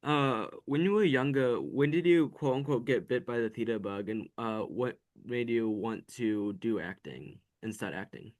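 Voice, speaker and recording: A man hosting a podcast, asking prepared questions in a casual, polite and slightly halting way. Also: mid-range voice, a little mellow. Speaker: podcast host, interviewer.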